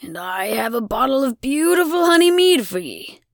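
A woman's voice: high-pitched, sing-song, normal speed, gravelly, dry recording, clean studio sound, pitched above the performer's own voice, full character voice. A woman voicing a nervous, obsequious male brewer who speaks in an old-fashioned way. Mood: fawning. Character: nervous, fawning middle-aged male brewer.

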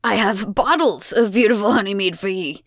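Jittery, flattering tradesman, bouncy raised voice, dry close sound, played by a woman. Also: on the high side, unhurried, no echo. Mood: flustered. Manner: obsequious, old-fashioned speech. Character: nervous, fawning middle-aged male brewer.

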